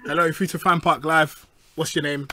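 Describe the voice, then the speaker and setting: A man's voice: a little high, flat delivery, a little fast, bright, forceful tone. A man hosting a live football phone-in and taking calls.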